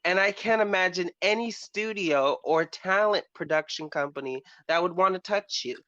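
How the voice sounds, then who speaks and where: Male panelist, campy and emphatic, bright cutting tone, slightly lo-fi dry recording. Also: high voice, moderate pace, dry recording. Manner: sassy, campy, emphatic slang with "girl" and "honey". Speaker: panelist on a pop culture talk show. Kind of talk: animated opinionated casual chat.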